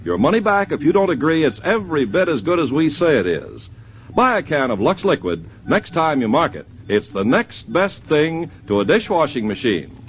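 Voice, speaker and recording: A man announcing a 1950s radio commercial as a persuasive pitchman. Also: very animated, moderate pace. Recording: dull old radio broadcast.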